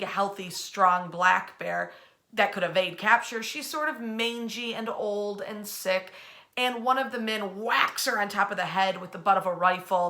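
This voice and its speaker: A woman hosting an informal history storytime from her bed at home, chatty and animated, using colloquial phrasing as she speaks to an online audience. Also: medium pitch. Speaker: host of a nightly history storytime.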